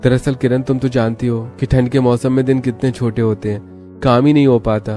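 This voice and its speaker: A man voicing a calm young working husband in a cartoon story, explaining plainly, with faint music behind. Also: medium pitch, a little fast, natural voice.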